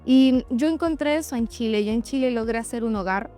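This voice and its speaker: Higher-voiced woman over music. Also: fairly quick, dark tone. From Venezuela. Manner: candid, reflective, addressing listeners directly. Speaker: host of a personal podcast or vlog. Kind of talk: personal reflective monologue to followers. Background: background music.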